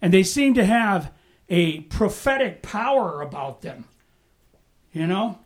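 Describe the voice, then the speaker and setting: Pastor, Bible study teaching, unhurried, conversational, punchy emphasis. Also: mid-range voice, sing-song. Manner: conversational, asks the room "right?" and "amen?".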